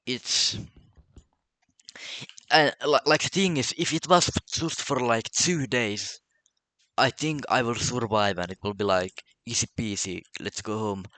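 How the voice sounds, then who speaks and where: A man's voice: mid-range voice, a little slow, bright, forceful tone, dry recording. A man from Finland with a Finnish accent and Finnish as his first language, talking casually to camera in a solo vlog, stammering and repeating words as he goes. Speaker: vlogger.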